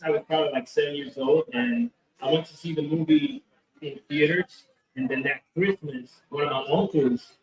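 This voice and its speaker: Guy rambling about his comic at a con, kinda high voice, roomy echo, a bit off mic. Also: recorded from a distance, dull audio. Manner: rambling, many fillers and restarts. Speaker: comic book creator, guest. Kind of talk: casual interview at a convention. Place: convention hall.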